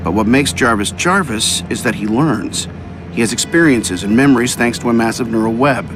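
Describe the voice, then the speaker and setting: A man's voice: medium pitch, moderate pace, close to an everyday voice. Man playing a cocky wisecracking armoured inventor. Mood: confident, wry. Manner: breezy, sardonic. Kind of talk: scripted video game voice acting. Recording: voice under loud game music.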